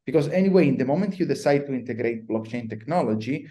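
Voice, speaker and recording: A man with an Italian accent, a guest on a podcast interview, explaining at a measured pace with frequent hesitations. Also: mid-range voice, lively intonation, warm tone. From Italy.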